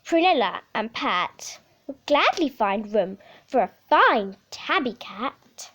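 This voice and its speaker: A woman's voice: fairly high pitch, very animated, slow, a bit bright, full character voice. A woman voicing a friendly, motherly female cat from a storybook, speaking eagerly and emphatically.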